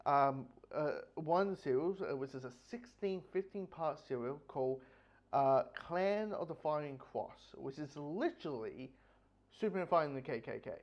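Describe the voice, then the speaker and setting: Dull lo-fi recording; male reviewer, slightly high-voiced, rambling and blunt, casual unscripted delivery. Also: animated. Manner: rambling, halting, repeats phrases. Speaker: book reviewer.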